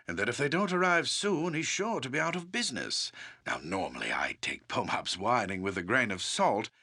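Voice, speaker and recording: A man's voice: mid-range voice, pushed and bright, slightly rough, exaggerated voice. A man playing a seasoned, middle-aged adventurer and town leader in a fantasy video game, speaking in a declamatory, old-fashioned formal way. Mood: businesslike. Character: seasoned, semi-retired adventurer and town leader, commanding but amiable.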